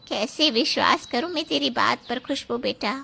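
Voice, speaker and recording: महिला कलाकार की बहुत ऊँची, उलाहना देती पारिवारिक आवाज़. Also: female voice, a little high, normal speed, vocal fry, pushed and bright, slightly rough, full character voice. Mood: disappointed reproach. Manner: reproachful, questioning. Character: earnest, reproachful woman in family drama story. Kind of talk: dramatized moral story acting.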